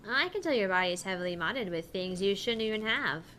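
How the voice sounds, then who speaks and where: A woman's voice: medium pitch, lively intonation, brisk, sharp tone, a little hiss or hum. Woman reading as an earnest young female officer. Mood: earnest, official. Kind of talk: voiced reading of visual novel dialogue.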